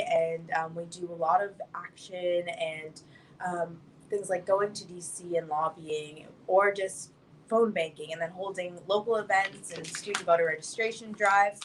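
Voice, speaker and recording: A woman's voice: on the low side, poor audio. A young woman speaking as an activist guest, informally announcing and explaining in youthful, casual phrasing. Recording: faint background ambient noise.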